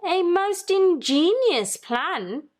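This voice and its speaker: A woman's voice: very high-pitched, very animated, extremely slow, pitched above the performer's own voice, full character voice. A woman voicing a genial, old-fashioned husband who keeps a lighthouse, in a storybook. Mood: approving.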